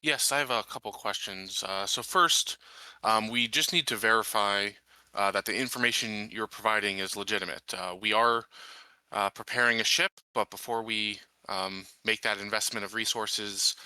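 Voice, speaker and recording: Man playing a sharp-voiced, formal sheriff. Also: on the low side, no room sound, low-quality recording. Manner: measured, businesslike, halting. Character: formal, cautious colonial sheriff questioning an informant.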